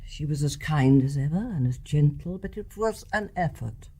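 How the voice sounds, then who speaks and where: A woman's voice: deep voice, animated, breathy edge, exaggerated voice. Composed older English mother-in-law. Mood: reflective, frank. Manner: measured, articulate, gently frank. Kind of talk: stage-play radio drama acting.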